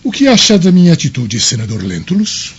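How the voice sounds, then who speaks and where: An actor playing an authoritative Roman governor in a radio drama, solemn and oratorical, with a husky voice. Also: male voice, a little high, wildly swooping pitch, a little fast, highs cut off, full character voice. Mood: deliberating, authoritative.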